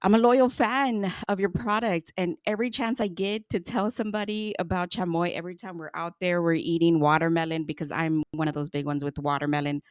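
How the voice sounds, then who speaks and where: A chatty middle-aged woman hosts a podcast conversation, her voice set a little low. She laughs easily and often restarts her sentences. The sound is dry and close. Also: steady tone, fairly quick, few pauses, no echo. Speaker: podcast host. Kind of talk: casual interview chat.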